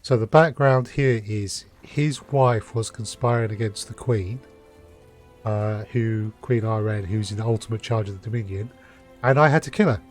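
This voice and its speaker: A man giving casual, informal commentary as he streams a game, chatty and unhurried. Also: on the low side, darkish tone. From United Kingdom. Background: faint game music.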